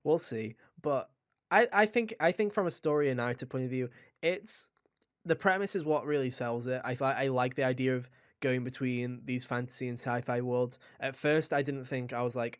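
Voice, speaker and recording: A man co-hosting a gaming podcast, chatting casually and enthusiastically in an animated, rambling way. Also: mid-range voice, smooth, dry recording, studio quality. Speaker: podcast co-host reviewing a video game.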